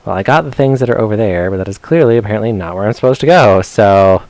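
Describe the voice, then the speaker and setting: A man's voice: lowish voice, fast, darkish tone, no room sound. A man doing casual, rambling gameplay commentary for a live stream, chatting playfully to his viewers as he plays. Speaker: game streamer.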